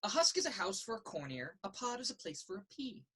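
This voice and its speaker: A woman reading a children's picture book aloud in a sing-song, rhythmic way, with a slightly husky voice. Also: medium pitch, sing-song, fast talker, fairly bright tone. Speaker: story reader. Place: indoors in a children's library.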